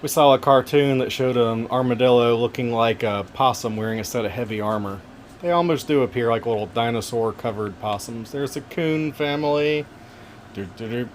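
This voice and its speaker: A man with a relaxing voice casually narrating wildlife camera footage, gentle and folksy with joking asides. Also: mid-range voice, normal speed, slight background noise. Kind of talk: casual narration over video.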